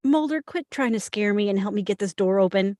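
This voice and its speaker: An actress playing a skeptical, impatient and rational FBI agent at a script table read, matter-of-fact and argumentative. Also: female voice, mid-range voice, lively intonation, a little fast, dark tone, no room sound, studio quality, lightly acted.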